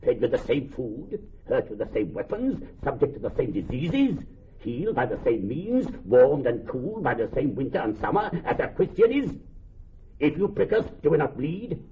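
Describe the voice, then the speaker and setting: A man's voice: medium pitch, very animated, moderate pace, lightly acted. A male actor plays a wronged, vengeful moneylender in a classical radio drama. His rhetorical delivery leaps and plunges through a broad range. The sound is a little muffled. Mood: grave, wounded. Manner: declamatory, rhetorical, building in repetition. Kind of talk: classical Shakespearean radio acting.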